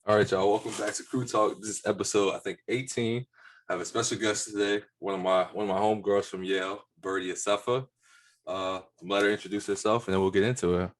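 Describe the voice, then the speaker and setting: A young man with a very low voice hosts a casual podcast in a relaxed, easygoing way. He speaks into a studio microphone in a room at home. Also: fast. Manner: relaxed, easygoing, brief affirmations. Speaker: podcast host. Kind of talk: casual conversation. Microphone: studio mic and headphones.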